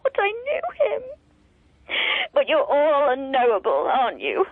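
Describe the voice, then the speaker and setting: An actress playing a blunt, resentful estranged wife in a radio comedy drama, speaking reproachfully. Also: female voice, high voice, huge pitch swings, a little slow, no room sound, heavily acted. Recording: tinny, phone-like, dry.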